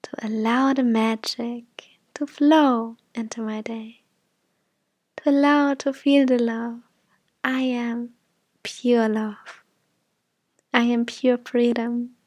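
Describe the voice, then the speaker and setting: A woman guiding an affirmation meditation in soft, gentle, breathy phrases that often drop toward a whisper. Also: fairly high pitch, steady tone, slow pace, pauses often, no echo. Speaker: meditation guide.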